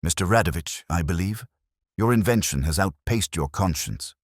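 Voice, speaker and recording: A man performs a precise, commanding detective of an audio mystery. The voice is very low and lively in its movement, with clipped, deliberate phrasing. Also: no room sound, studio quality.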